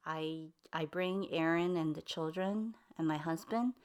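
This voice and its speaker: Earnest middle-aged woman, slightly low voice. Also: unhurried, clean tone. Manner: earnest, explanatory, with hesitant "um" and "uh". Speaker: interviewee, mother. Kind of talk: casual interview talk.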